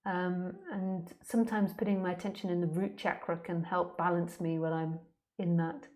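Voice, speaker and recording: Low-pitched woman teacher, candid and conversational. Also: fairly even intonation, normal speed, darkish tone. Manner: candid, conversational, plain-spoken. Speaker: spiritual teacher answering questions. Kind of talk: informal question-and-answer talk.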